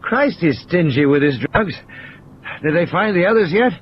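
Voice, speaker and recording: Man putting on a wry, high-pitched drugged mathematician. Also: lively intonation, normal speed, lo-fi sound, a little hiss or hum, lightly acted. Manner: droll, complaining, expansive. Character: male injured mathematician, wry, drugged, joking. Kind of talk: audio play character dialogue.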